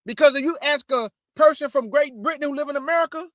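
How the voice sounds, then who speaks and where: High-voiced preachy man, bone-dry sound. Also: fast, a bit bright, no room sound. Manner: preachy, addresses audience as family. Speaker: host. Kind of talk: casual religious commentary.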